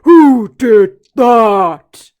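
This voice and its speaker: A woman voicing a big, angry elephant in a children's story, bellowing slowly. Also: lively intonation, darkish tone, dull audio. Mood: furious. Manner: booming, slow, bellowing.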